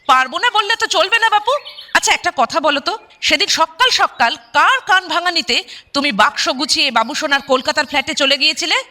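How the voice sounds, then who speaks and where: A woman playing a sharp-tongued yet doting dead mother who speaks from her photograph, in a colloquial radio drama style. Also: high-pitched, expressive, brisk, full character voice. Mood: scolding. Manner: scolding, teasing, colloquial. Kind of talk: broadcast radio drama acting.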